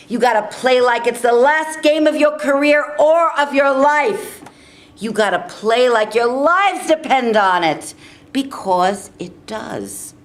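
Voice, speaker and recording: A woman playing a demanding male football coach giving an emphatic, exhorting pep talk in a staged reading. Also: on the high side. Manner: emphatic, exhorting, building to shouts.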